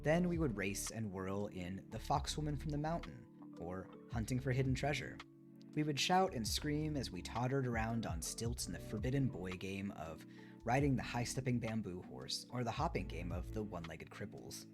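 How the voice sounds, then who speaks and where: Male streamer, lower voice, measured book narration with clear guitar backing. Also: lively intonation. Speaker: streamer reading a book aloud. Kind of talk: live read-aloud of a memoir, with casual asides. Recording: music behind the voice. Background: guitar music.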